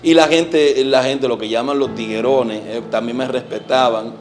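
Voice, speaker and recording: Un hombre dominicano de unos cuarenta años, evangelista, cuenta su testimonio con acento dominicano, serio y con muchas pausas y muletillas. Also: male voice, medium pitch, fairly even intonation, music in the background. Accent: Dominican Spanish. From Dominican Republic. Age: 40s. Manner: earnest, hesitant with frequent fillers, trails off softly. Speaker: evangelist guest. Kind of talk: testimony interview.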